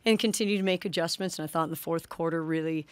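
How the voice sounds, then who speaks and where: A woman in her forties, a head basketball coach, answering questions at a postgame press conference in a measured way, often restarting her sentences. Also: low voice, fairly even intonation, clear, resonant tone, no echo. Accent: American. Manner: measured, frequent restarts and "you know". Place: press room in front of a sponsor backdrop. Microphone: desk microphone on a stand.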